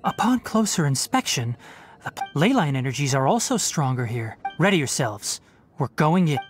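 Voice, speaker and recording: A man playing a calm, principled young forest ranger scholar in an anime game, articulate, earnest and gently firm. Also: on the high side, normal speed. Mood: focused. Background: game music.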